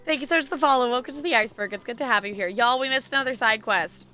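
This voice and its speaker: A woman's voice: fairly high pitch, racing, sharp tone, faint music behind. A woman game streamer giving casual, chatty live commentary and reacting to the game for her viewers.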